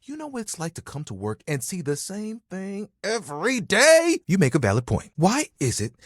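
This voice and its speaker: A man's voice: high voice, huge pitch swings, normal speed, no room sound, studio quality, exaggerated voice. A man voicing a friendly, vain, dramatic living towel in a video game, chatty and playful with an easily wounded manner. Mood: awkward, confiding. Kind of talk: animated, conversational game character acting.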